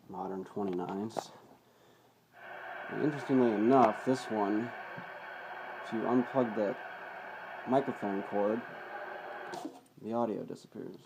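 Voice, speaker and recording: A man's voice: lowish voice, creaky, muffled recording, noisy background. A man giving a casual, unscripted, hands-on demonstration of gear, plain-spoken and matter-of-fact, in an amateur home video. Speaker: hobbyist presenter demonstrating radio gear.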